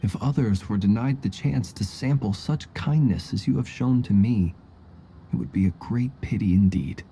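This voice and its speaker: A man voicing a gracious, poetic gentleman in a video game, speaking in polite, flowery, formal phrases. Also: fairly low pitch, normal speed, a little hiss or hum, natural voice.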